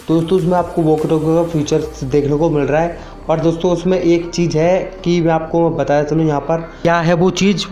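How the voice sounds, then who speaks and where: A man's voice: fairly high pitch, a little mellow. A young man giving a casual gaming update voice-over, repeating himself and addressing viewers as friends. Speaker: YouTube gaming channel host. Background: background music.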